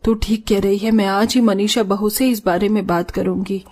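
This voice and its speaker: A woman voicing a patient, concerned middle-aged mother-in-law who reproaches gently in a moral story. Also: medium pitch, fairly even intonation, normal speed, natural voice. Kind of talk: animated moral story dialogue.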